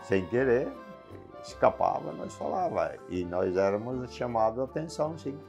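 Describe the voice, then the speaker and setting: An older man, calmly recounting memories as an interviewee. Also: mid-range voice, normal speed, vocal fry, soft background music. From southern Brazil.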